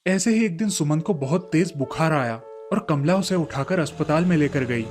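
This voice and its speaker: A man's voice: fairly high pitch, lively intonation, moderate pace. A man narrating a scripted children's story as a storyteller. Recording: faint music under the voice. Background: soft background music.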